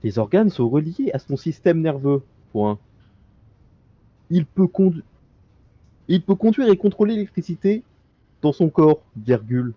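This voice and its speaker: A male streamer with a fairly high voice reads in-game notes aloud, tripping over words and cutting in with wisecracks. The sound comes through a dull, muffled mic with a dry, close feel. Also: sing-song, measured pace, pauses often, no room sound. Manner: stumbling reads with joking asides. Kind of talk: reading in-game documents aloud during a co-op stream.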